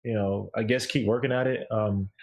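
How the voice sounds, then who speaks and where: A man's voice: fairly low pitch, fairly even intonation, normal speed, darkish tone. A man giving music opinions in casual chat, hedging and hesitant as he talks. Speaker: music reviewer on a panel.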